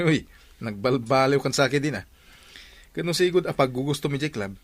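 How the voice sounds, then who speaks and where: A man's voice: mid-range voice, expressive, moderate pace, lightly acted. A man playing a jovial, teasing drinking buddy in an Ilocano radio drama. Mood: amused, teasing.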